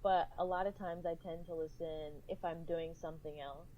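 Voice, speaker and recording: A woman with a lowish voice chats casually as a podcast guest. The sound is a bit dull, with faint room noise behind her. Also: steady tone, moderate pace. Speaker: podcast guest, cast member. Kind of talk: casual round-table chat.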